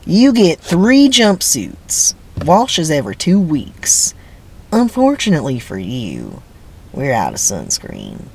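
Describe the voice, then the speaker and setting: A man voicing a stern, menacing prison camp boss in his forties, taunting with drawn-out, swooping commands in a dramatic script reading, with background noise. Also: a little high, huge pitch swings, creaky, darkish tone, heavily acted. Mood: gruff, commanding.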